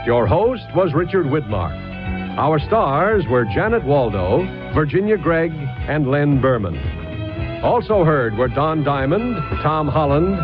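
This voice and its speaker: High-pitched, lively male radio announcer. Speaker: show announcer. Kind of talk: formal scripted closing credits. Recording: dull old radio recording, music behind.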